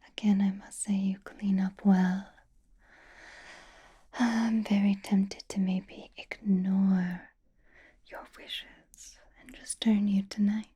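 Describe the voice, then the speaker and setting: A woman playing a flirtatious, possessive female vampire in an ASMR roleplay, softly whispering with teasing endearments. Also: mid-range voice. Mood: teasing, seductive. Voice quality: soft breathy whisper. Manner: slow, teasing, drawling endearments.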